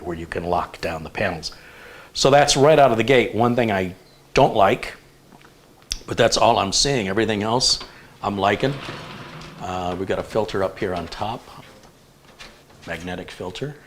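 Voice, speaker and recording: A man hosting a live stream, chatting casually and thinking aloud with relaxed fillers. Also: low-pitched, a little hiss or hum. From United States. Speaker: show host.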